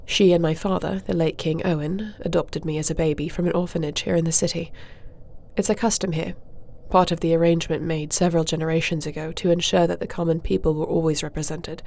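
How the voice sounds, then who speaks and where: A woman playing a formal, dutiful dragon-shifter princess in an audio roleplay, speaking in a measured, regal and diplomatic manner. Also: deep voice, fairly even intonation, gravelly, a little mellow. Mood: matter-of-fact. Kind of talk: scripted audio roleplay acting.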